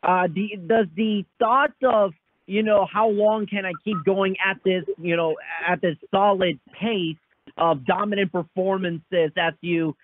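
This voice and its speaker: High-voiced male reporter on phone. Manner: long rambling questions padded with "you know". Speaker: sports reporter. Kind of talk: asking questions on a press conference call. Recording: thin phone-line conference call audio.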